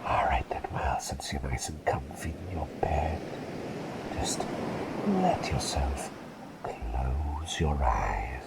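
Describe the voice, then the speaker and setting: A man voicing an eccentric, kindly plague doctor in an ASMR roleplay, whispering gently and soothingly with quaint, effusive repeated phrases. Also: a bass voice, very animated, takes it slowly, heavy background noise, exaggerated voice. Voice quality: breathy whisper with creaky edge.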